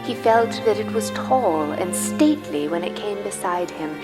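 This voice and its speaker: A woman as the solemn storyteller of a live radio play, narrating in a measured, suspenseful and theatrical way. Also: medium pitch, animated, in a large hall, lightly acted. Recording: music under live stage narration.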